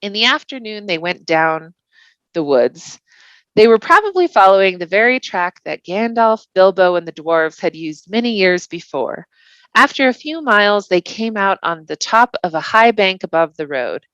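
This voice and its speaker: A woman reading a novel aloud as an expressive storybook narration. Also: medium pitch, normal speed, no room sound, dull audio. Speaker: volunteer reader.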